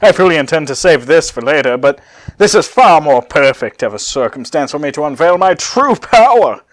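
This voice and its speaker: A man's voice: fairly high pitch, very animated, moderate pace, pitched above the performer's own voice. A man voicing an arrogant, scornful android villain from anime fanfiction, with pompous, taunting delivery. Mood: scornful, boastful.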